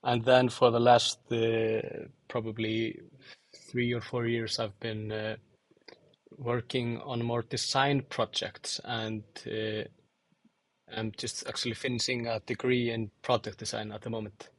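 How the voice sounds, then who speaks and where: A young man from Iceland and Angola answering interview questions thoughtfully, with many fillers and pauses. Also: medium pitch, little pitch movement, no room sound. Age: young adult. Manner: hesitant, many fillers and restarts. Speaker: podcast guest, musician and designer.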